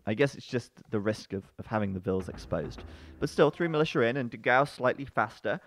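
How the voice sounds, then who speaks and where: A man commentating a strategy game match live, chatty and full of fillers, breaking into excited outbursts at the action. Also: brisk, slight background noise. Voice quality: bassy-sounding voice. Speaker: esports commentator.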